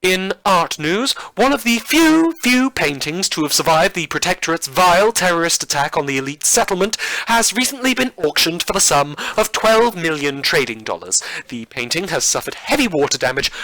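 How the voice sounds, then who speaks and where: Casting: male performer as campy dystopian news anchor, bright and animated, higher-pitched, grand diction, deadpan over horrors. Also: no room sound, rough recording. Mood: arch, grand. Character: campy, theatrical dystopian radio newsreader with mock-cheerful gravity. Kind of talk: scripted parody news broadcast.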